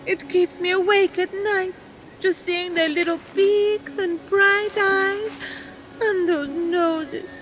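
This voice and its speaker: A woman's voice: extremely high pitch, steady tone, takes it slowly, a bit bright, faint music behind, full character voice, rough recording. A woman voicing a sweet, fretful goddess in a video game, gushing and tearful with dramatic gasps. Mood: weepy gushing. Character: sweet, fretful goddess of love rooted as a tree. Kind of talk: animated video game voice acting.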